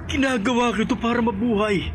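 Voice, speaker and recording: An anguished, menacing killer husband. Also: male voice, extremely high pitch, fairly even intonation, fast talker, music in the background. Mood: defiant, desperate. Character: desperate murderous husband bound by a pact with Satan. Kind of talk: Filipino radio horror drama acting.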